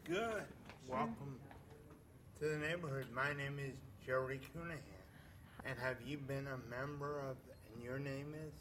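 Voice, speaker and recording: A man with a Boston accent role-playing a friendly doorstep welcome, halting with many "um"s. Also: mid-range voice, a crowd in the background. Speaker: workshop participant doing role-play.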